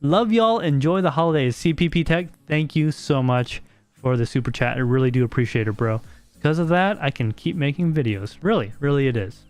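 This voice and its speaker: A man's voice: medium pitch, brisk, a little mellow, faint music behind. An American man hosting a casual podcast livestream, chatting with viewers and reading their messages, often repeating words as he thinks aloud. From United States.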